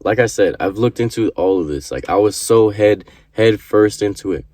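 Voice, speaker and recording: A man's voice: fairly low pitch, moderate pace, darkish tone, dry recording, studio quality. A man in his early twenties giving an earnest motivational talk to camera, repeating his points and swearing casually. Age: 20s. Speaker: vlogger.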